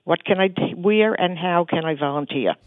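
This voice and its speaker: A woman's voice: on the low side, moderate pace, no room sound. An older woman with a New York accent, calling in to a radio show and speaking directly and earnestly. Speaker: caller. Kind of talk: phone-in chat. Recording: tinny phone line.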